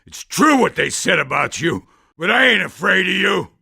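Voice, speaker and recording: Casting: brash game henchman, high piercing rasp, blustering and strained, cocky street tough. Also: sing-song, normal speed, pushed and bright, no echo, studio quality, full character voice. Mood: defiant. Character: defiant, cocky criminal thug breaking into panic. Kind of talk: video game voice acting, interrogation lines.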